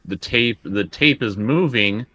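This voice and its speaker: A man playing a tabletop roleplaying game, improvising his character's actions in halting, casual talk. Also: lowish voice, expressive, bright, forceful tone.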